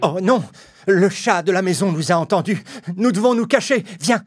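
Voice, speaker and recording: A small, expressive cartoon rat in a children's fable. The voice is high and swoops around with theatrical excitability, over faint music. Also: male voice, moderate pace. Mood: panicked. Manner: exclamatory, theatrical.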